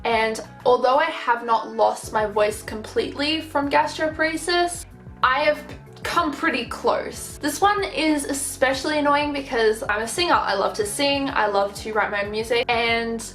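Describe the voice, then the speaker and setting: A woman's voice: on the high side, measured pace, a bit bright, faint music behind. Chatty woman, echoey room. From Australia. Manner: chatty, frank, with many fillers. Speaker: vlogger. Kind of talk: casual talk to camera.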